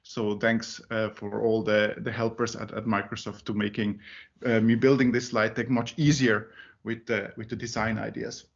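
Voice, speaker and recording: A man's voice: lowish voice, level tone, moderate pace, lo-fi sound. A man from Finland who grew up in Zurich, not a native English speaker, giving a talk at a user group meeting with many fillers and restarts. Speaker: conference speaker.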